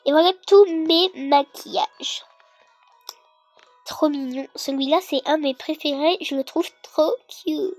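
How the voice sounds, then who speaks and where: Young girl, very high pitch, congested with a cold, casual gaming commentary, hesitant and rambling, light background music. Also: a little slow, a little mellow. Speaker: gaming video creator. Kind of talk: casual game tutorial commentary.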